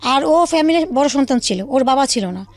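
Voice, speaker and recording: A woman's voice: sits high, animated, a little fast. A woman telling her own life story as a radio interview guest, recounting it in detail and acting out remembered dialogue in her own voice. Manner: recounts in detail, quoting past conversations as dialogue.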